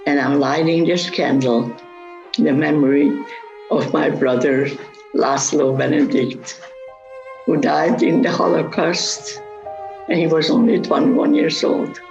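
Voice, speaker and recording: This is an older woman from Hungary with a gravelly, rough voice, speaking slowly and plainly. The recording sounds slightly muffled, with faint violin music behind her. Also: deep voice, a little mellow. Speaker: Holocaust survivor lighting a memorial candle.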